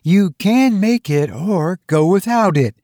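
A man's voice: sits high, lively intonation, slow pace, mellow, no echo, clean studio sound, exaggerated voice. A man voicing a blunt, indignant rural woman with a folksy, emphatic way of talking, in a storybook reading. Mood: curt, annoyed. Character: blunt, indignant rural woman, plainspoken neighbor recalling events.

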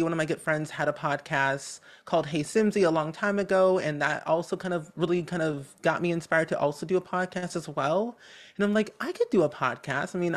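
A man speaking as a guest on a podcast, chatty and upbeat, with lots of casual fillers. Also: a little high. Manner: casual filler-heavy speech. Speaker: podcast guest, game content creator. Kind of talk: casual interview talk.

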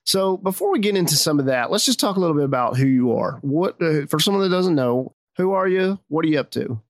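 A curious male podcast host leads an interview with friendly questions. His voice sits a little above the usual male pitch, and the sound is dry, with no room echo. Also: expressive, fast talker. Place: outdoors.

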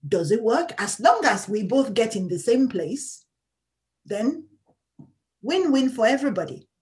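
Outspoken woman with a lively voice. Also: on the high side, unhurried. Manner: emphatic, outspoken, laughs often. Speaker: interview guest, patient advocate. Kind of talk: candid conversational interview.

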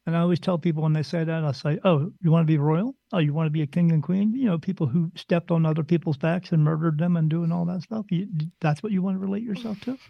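A man's voice: a little high, fast talker, vocal fry, few pauses, no room sound, muffled recording. A man who works as a spirit medium, talking casually on a podcast in a blunt, rambling way and slipping into other people's voices.

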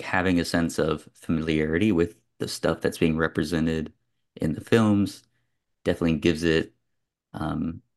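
Male host, casual film chat, very even low register, reflective and loosely discursive. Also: vocal fry. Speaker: podcast host.

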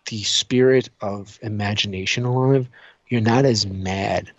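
A man chatting casually on a podcast, telling stories in an animated way, acting out dialogue and swearing freely. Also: on the low side, unhurried, dry recording, dull audio. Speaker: podcast co-host. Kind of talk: casual chat, animated storytelling.

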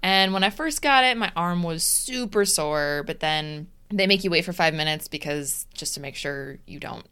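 Lively young woman, smooth low-ish voice. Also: moderate pace, few pauses. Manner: animated storytelling, acts out her own texts. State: recovering from vaccine side effects. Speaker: podcast co-host. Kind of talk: casual chat.

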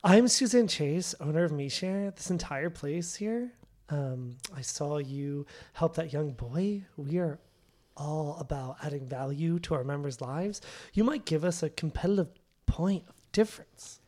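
A woman playing a brisk, polite, businesslike company owner in a comedy script table read. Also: deep voice, dark tone. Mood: pitching. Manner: corporate, matter-of-fact.

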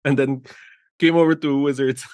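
Guatemalan-born guy in his middle years, excited art director rambling along, dry close sound, lots of "right?". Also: mid-range voice, brisk, dry recording. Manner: enthusiastic, rambling with frequent restarts and "right?" tags. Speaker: art director, interview guest. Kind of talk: casual interview conversation.

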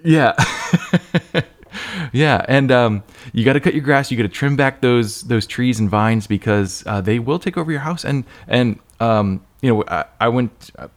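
A man hosting a podcast interview in casual conversation, chatty, agreeable and quick to affirm. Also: mid-range voice. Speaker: podcast host interviewing an author.

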